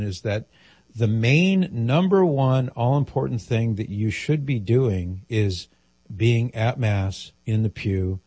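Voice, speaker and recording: A husky, lowish-voiced older man answering callers on a call-in radio show. He speaks in a calm, measured, fatherly way, with a dry studio sound close to the mic. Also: no echo.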